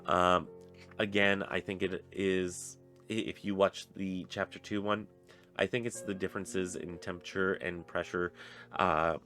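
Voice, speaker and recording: A man's voice: deep voice, pushed and bright. A young man with a stuffy nose, reviewing a book in a rambling, casual way with many fillers and restarts. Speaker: book reviewer on a pagan channel.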